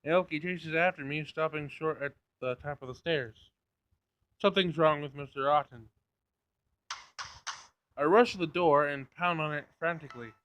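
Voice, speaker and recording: A man's voice: on the high side, moderate pace, pauses often, a bit bright. A man reading a visual novel aloud as dramatic narration on a gaming channel, in a screen recording. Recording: dull lo-fi screen recording, game music behind. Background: faint game music.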